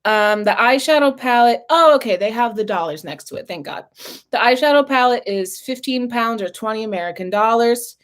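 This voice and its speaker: A woman chatting casually in a makeup review vlog, her voice husky and a little hoarse as if she is losing it, often clearing her throat between fillers. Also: on the high side, animated, fairly quick. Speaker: beauty vlogger.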